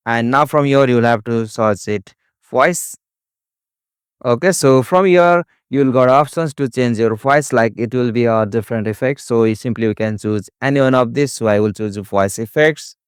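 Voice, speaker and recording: A man narrates a tutorial step by step in a clean, smooth voice. The sound is close and dry, with no room around it. His pitch sits in an ordinary middle range, and his phrasing is formulaic and repetitive. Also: normal speed, dry recording.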